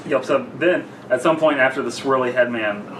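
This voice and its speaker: A man's voice: medium pitch, moderate pace. A young man, a podcast co-host, playfully bantering and reading a story aloud in a goofy way. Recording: echoey noisy room, distant mic.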